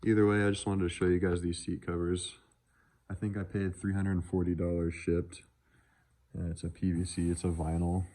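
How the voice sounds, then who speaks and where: A man's voice: low voice, flat delivery, normal speed, warm tone. A man talking casually and off the cuff in a laid-back vlog about his vehicle project. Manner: laid-back, offhand, unscripted. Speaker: car vlogger showing truck modifications. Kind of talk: casual handheld vlog chat.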